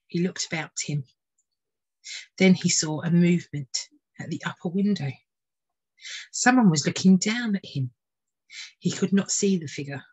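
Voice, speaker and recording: A woman's voice: on the low side, husky, poor audio. A woman tutor narrating a children's storybook expressively. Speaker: tutor reading a children's book.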